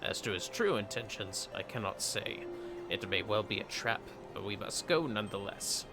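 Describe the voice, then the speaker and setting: Man reading as a low, courtly knight. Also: expressive, over music. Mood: courteous, wary. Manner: courtly, measured, old-fashioned phrasing. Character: formal, earnest knight delivering wary counsel. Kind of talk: reading of game dialogue.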